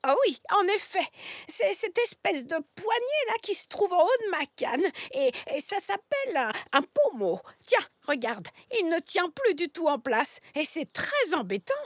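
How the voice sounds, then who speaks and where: A woman's voice: extremely high pitch, big pitch swings, a little slow, no room sound, heavily acted. An actress playing a fussy, hesitant elderly female zebra with a cane in a French children's cartoon. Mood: fussy, grateful.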